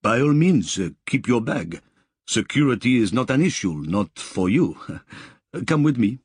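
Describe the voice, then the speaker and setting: A man's voice: mid-range voice, very animated, measured pace, darkish tone, husky, no room sound, studio quality, exaggerated voice. A man voicing an eager, apologetic male worker with a Belgian accent.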